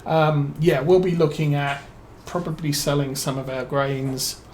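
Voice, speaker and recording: British man, game streamer, slow unhurried drawl, slightly high voice, musing with fillers. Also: little pitch movement, darkish tone, slight background noise. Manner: unhurried, musing, thinks aloud with many fillers. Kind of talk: relaxed let's-play commentary.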